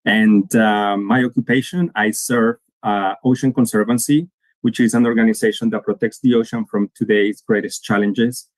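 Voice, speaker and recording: A man's voice: fairly low pitch, fairly even intonation, normal speed, no room sound. A man, giving an earnest conference talk to students and restarting his phrases often. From Colombia. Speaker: guest speaker.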